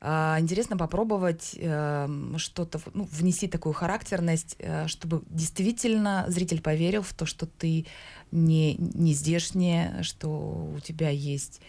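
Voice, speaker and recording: A woman's voice: sits low, unhurried, dark tone. A woman in her thirties, a stage actress guesting in a small studio, talking hesitantly with many fillers and restarts. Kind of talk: live radio and TV studio interview. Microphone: studio boom mic.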